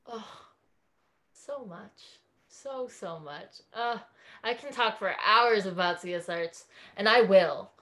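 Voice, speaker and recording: A woman's voice: mid-range voice, slow, a bit bright. A woman from California, a podcast guest talking in an effusive, earnest way in a casual interview chat.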